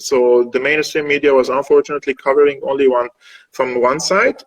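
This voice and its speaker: Fast-talking man with many fillers. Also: a little high, fairly bright tone, rough recording. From Syria. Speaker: guest analyst. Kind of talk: interview answer.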